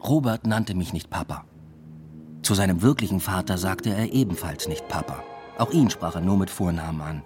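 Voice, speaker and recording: A man's voice: deep voice, normal speed, over music, close to an everyday voice. An actor playing a weary, introspective male academic, narrating a radio drama in a reflective, understated way. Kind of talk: radio drama first-person narration and dialogue.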